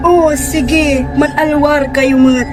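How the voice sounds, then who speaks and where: Old village woman played by a young woman, Pangasinan accent, high and very slow, deliberate teller of grim tales, music underneath. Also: fairly even intonation. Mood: cautioning. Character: elderly village woman recounting a tragic, frightening tale. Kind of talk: student radio drama acting. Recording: loud music bed under voice.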